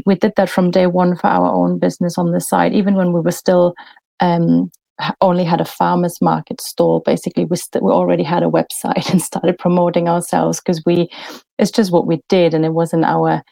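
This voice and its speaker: A woman, a podcast guest talking in a relaxed way, often pausing with fillers and restarting sentences. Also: on the low side, vocal fry, no room sound. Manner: thoughtful, many fillers and restarts. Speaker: podcast guest, bakery business co-founder. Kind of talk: casual interview conversation.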